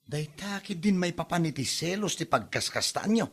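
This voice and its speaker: A man's voice: on the high side, animated, normal speed, husky. A man playing an exasperated grown son in an Ilocano comedy drama, chiding and reasoning with his parents in an Ilocano Filipino accent.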